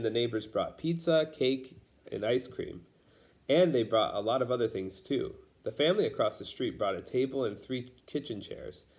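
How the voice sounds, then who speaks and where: A man's voice: medium pitch, darkish tone. Man reading a children's picture book aloud. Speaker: storybook reader.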